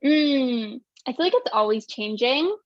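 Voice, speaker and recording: A woman's voice: high-pitched, expressive, measured pace, no room sound. Bubbly young woman, dull dry sound. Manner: bubbly, says "like" constantly, laughs often. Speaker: singer-songwriter guest. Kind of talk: casual interview chat.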